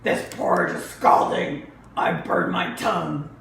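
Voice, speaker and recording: Woman acting a blustery, loud old papa bear. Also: lowish voice, measured pace, vocal fry. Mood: indignant. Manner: blustery, emphatic. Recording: roomy echo with faint background noise.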